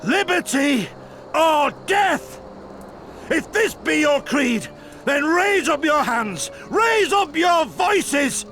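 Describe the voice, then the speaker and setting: An actor playing an impassioned rebel leader in a fantasy game, speaking grandly and deliberately like a practised orator. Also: male voice, extremely high pitch, animated, bright, forceful tone, faint music behind, exaggerated voice. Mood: rousing, defiant. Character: impassioned male rebel leader, rousing orator, world-weary mentor to soldiers. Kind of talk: theatrical fantasy game acting.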